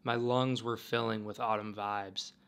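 A man narrating a travel vlog in a calm, wistful, musing way. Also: fairly low pitch, unhurried, creaky, clear, resonant tone. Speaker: travel vlogger. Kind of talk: reflective voice-over narration.

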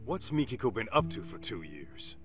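An actor playing a blunt, slangy middle-aged street-tough detective in a video game. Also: male voice, fairly low pitch, sing-song, moderate pace, loud music behind the voice. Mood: blunt, pressing.

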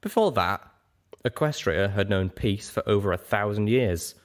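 A man's voice: fairly low pitch, lively intonation, normal speed, natural voice. A man voicing a huge old male dragon in a story reading, earnest and nostalgic, with a reflective, emphatic manner. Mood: passionate, earnest.

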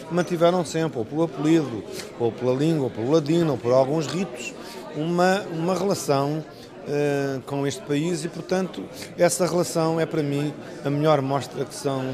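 A man in his sixties gives a formal interview statement in a European Portuguese accent. A busy room of people can be heard clearly behind him. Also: medium pitch.

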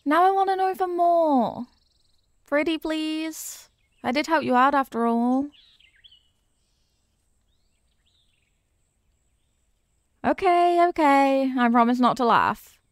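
A woman playing a young, eager and kindly apprentice witch in an ASMR roleplay, chatty, teasing and expressive as she talks straight to the listener. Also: on the high side, no echo, studio quality, natural voice. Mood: teasing, curious. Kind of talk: ASMR character roleplay, scripted.

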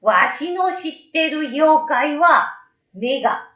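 A woman voicing a small, curious, easygoing old yokai in a storybook, with a folksy old-man way of talking and drawn-out word endings. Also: sits high, lively intonation, echoey room, muffled recording, pitched above the performer's own voice. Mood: matter-of-fact. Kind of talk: storybook read-aloud.

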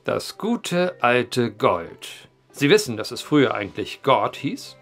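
An actor playing a wry male journalist who tells an eerie old tale with deliberate phrasing in an audio drama. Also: mid-range voice, sing-song, vocal fry, fairly bright tone, soft background music, full character voice. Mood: wry, probing. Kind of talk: German audio drama acting, storytelling.

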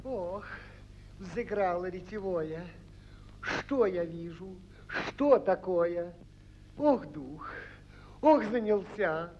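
An actor playing an emotional, blustering middle-aged tsar in a 1940s cartoon, with theatrical, drawn-out declamation. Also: male voice, sits high, expressive, a little mellow, slight background noise, full character voice. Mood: overwhelmed. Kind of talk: 1940s animated fairy-tale film acting. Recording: old 1940s film soundtrack, music behind.

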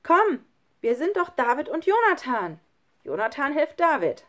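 A woman's voice: on the low side, sing-song, moderate pace, darkish tone. A woman voicing a bold, encouraging young boy who calls out and urges on, in a children's storybook reading. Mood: eager, encouraging. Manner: calling out, urging. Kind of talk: children's storybook read aloud.

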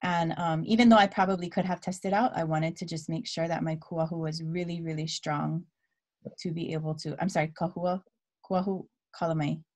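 A woman in her thirties from Hawaii, telling her story as a guest speaker on a video call. She talks in a relaxed way with many fillers and drops Hawaiian words into her English. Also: sits low, moderate pace. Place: dim room at home. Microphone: laptop webcam video call.

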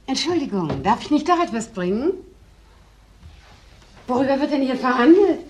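An actress playing an inquisitive, worried wife in a crime drama, politely and attentively asking questions. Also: female voice, high-pitched, sing-song, moderate pace, a little mellow. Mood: concerned. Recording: echoey, distant, noisy film soundtrack.

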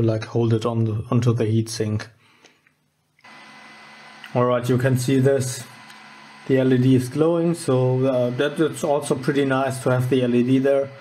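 A man with a soft, dark-toned voice walks through a hands-on demonstration. He speaks slowly and informally, with very little rise and fall, often halting as he thinks aloud. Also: medium pitch. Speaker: host of a DIY electronics channel. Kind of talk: casual unscripted demonstration. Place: indoors at a wooden workbench.

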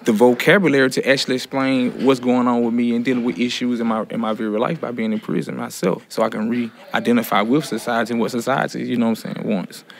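A man's voice: medium pitch, steady tone, quick pace, gravelly, few pauses. A man in a prison writing class speaking earnestly and reflectively, in talk and recited verse. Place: room inside a prison. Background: soft guitar music.

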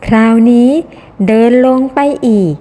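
A woman's voice: measured pace, warm tone. A woman reading a scripted children's story in simple phrasing for small children, in a reverberant recording. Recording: long reverberant hall echo.

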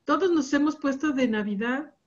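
A woman with a high voice hosts a video call seminar and speaks to the whole group in a lively, cheerful way. The sound is dull and lo-fi, as it often is on a call. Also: moderate pace. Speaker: seminar host.

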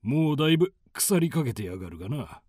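An actor playing a domineering nomad raider chieftain in a radio drama, with rough, commanding, coarse speech. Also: male voice, medium pitch, big pitch swings, fairly quick, a little mellow, dry recording, studio quality. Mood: casual, callous.

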